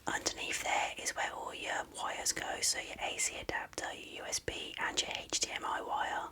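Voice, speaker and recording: Whispered ASMR unboxing host, soft and unhurried. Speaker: video host showing an unboxing.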